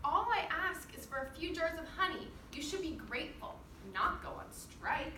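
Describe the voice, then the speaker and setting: A woman's voice: extremely high pitch, expressive, moderate pace, bright, forceful tone, voice pushed up, full character voice. A woman voicing a grumbly, self-centred male bear who loves honey, in a storybook reading. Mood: indignant grumbling. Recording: roomy, distant microphone, clear noise.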